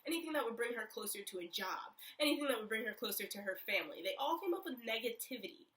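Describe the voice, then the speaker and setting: A woman's voice: fairly high pitch, expressive, quick pace, fairly bright tone, distant mic. A young woman telling a story in a casual vlog, chatty and rambling with lots of fillers. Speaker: vlogger.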